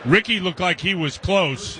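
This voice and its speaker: A man's voice: sits high, lively intonation, a little slow, crowd noise. An American man giving conversational, explanatory analysis as a color commentator on live football radio. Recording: radio feed with faint stadium noise.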